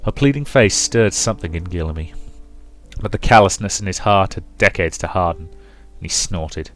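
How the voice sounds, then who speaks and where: Low-voiced man narrating a visual novel. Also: normal speed, fairly bright tone, faint music behind. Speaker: game streamer.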